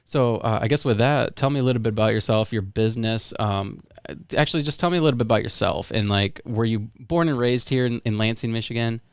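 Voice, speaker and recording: A man hosts a podcast in a casual, halting way, often restarting his sentences. His voice is gravelly yet resonant. He is recorded dry and close. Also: mid-range voice, dry recording. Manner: relaxed, laughing, halting restarts. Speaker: podcast host. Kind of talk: casual interview.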